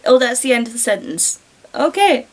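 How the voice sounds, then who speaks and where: A woman's voice: on the high side, very animated, normal speed. A woman reading a story aloud and breaking off for casual, sarcastic commentary. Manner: wry, sarcastic asides.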